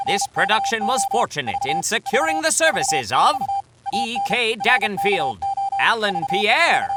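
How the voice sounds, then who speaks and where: A woman reading scripted credits as an announcer, with loud noise over her voice. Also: medium pitch, very animated, a little slow, over loud music.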